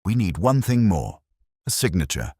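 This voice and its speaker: A man voicing a coolly logical, assured detective in an audiobook, speaking in measured, precise, formal phrases. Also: sits low, very animated, mellow, breathy edge, no echo, studio quality, full character voice. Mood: grave, exacting.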